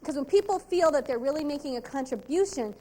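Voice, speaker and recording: A middle-aged woman, giving an upbeat, precise and animated sales training talk at a convention. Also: high voice, fast, a little hiss or hum.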